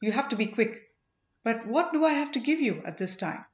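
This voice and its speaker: A woman's voice: a little high, sing-song, a little fast, reverberant, lo-fi sound. A woman voicing a proud, impulsive young exiled prince in a storybook, with a commanding manner. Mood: urgent.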